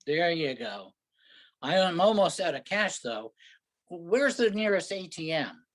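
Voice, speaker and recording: A man's voice: on the high side, sing-song, measured pace. A man playing an impatient, sarcastic, exasperated husband on vacation in an amateur staged play reading. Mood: incredulous, grudging. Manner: sarcastic, griping, incredulous.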